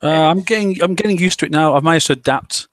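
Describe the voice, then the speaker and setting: A man's voice: on the high side, fast talker, fairly bright tone, dry recording. Rambling man, dry close mic. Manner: rambling, many fillers and restarts. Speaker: podcast host. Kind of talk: casual group discussion.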